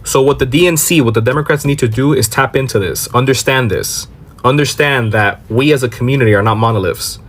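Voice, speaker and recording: A man's voice: mid-range voice, brisk, a bit bright. A man from Miami with an American accent giving emphatic explanatory commentary, asking questions and answering them himself. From Miami, Florida. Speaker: commentator on a social media reaction video. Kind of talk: explanatory political commentary to camera.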